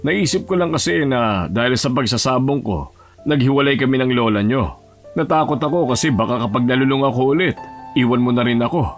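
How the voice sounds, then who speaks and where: A man playing a gentle old grandfather, mid-pitched. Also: a little fast, soft background music, lightly acted. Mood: regretful, reflective. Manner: plain-spoken, fatherly. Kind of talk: Tagalog audio drama acting.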